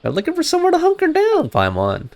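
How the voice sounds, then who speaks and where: A man's voice: very high voice, wildly swooping pitch, quick pace, vocal fry, noisy background. A man doing casual, slangy, joking reaction commentary as he streams a game. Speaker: gaming streamer. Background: game music.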